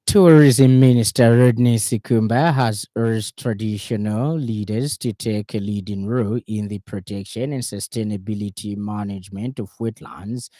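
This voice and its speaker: A man reading a scripted radio news bulletin in a formal, measured way. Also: lowish voice, dark tone, no room sound, clean studio sound. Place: radio studio.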